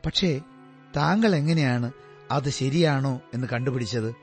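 A man's voice: medium pitch, a little slow, music in the background, close to an everyday voice. An actor playing a detective's attentive male companion in a Malayalam radio drama, earnest and questioning. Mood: curious.

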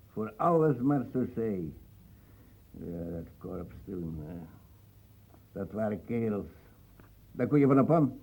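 An actor playing a gruff, suspicious old ex-soldier, muttering and defiant, in a radio play. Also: male voice, lowish voice, animated, slow, long pauses, dark tone, a little hiss or hum. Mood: nostalgic. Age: older.

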